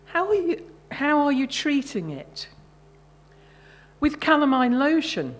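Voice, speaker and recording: A middle-aged woman, an author reading her own short fiction at a live event, measured and carefully phrased. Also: high-pitched, sing-song.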